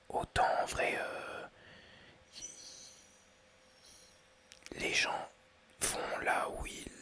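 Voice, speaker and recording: A man in his twenties whispering ASMR-style as he thinks aloud through quiz questions, hesitant and rambling with many pauses and restarts. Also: pushed and bright. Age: 20s. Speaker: ASMR creator.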